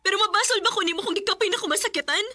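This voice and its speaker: A woman's voice: extremely high pitch, very fast, sharp tone, soft background music. A woman acting a guilt-ridden young girlfriend in an emotional radio drama, pleading and anguished. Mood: defensive, anguished.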